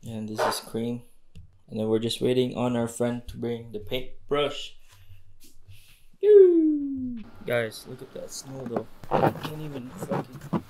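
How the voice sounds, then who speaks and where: Chatty young man touring an empty house. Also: medium pitch. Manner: laid-back, chatty, talks to the viewers. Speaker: vlogger. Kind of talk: casual vlog house tour. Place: empty basement suite and snowy yard. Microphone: handheld camera at arm's length.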